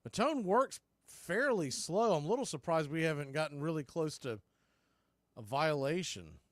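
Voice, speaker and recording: A man's voice: a little high, expressive, normal speed, no echo, clean studio sound. A man doing live baseball play-by-play commentary as the show's announcer, in terse, clipped calls.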